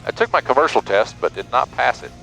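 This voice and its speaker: A man's voice: mid-range voice, animated, quick pace, gravelly, sharp tone, low-quality recording. A man speaking as a pilot on a headset in a small plane, switching between joking casual chat and clipped radio calls. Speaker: pilot and vlog host. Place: small twin-engine airplane cockpit in flight. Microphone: aviation headset. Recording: engine drone and music behind.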